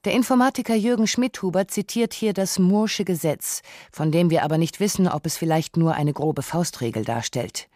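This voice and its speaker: A woman reading a scripted radio documentary narration in a measured, reflective way. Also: on the low side, a little mellow, dry recording, clean studio sound.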